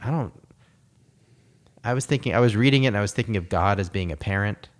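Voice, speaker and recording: Low-voiced man musing, full of fillers. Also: quick pace. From United States. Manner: reflective, rambling with many fillers and restarts. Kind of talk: casual conversation.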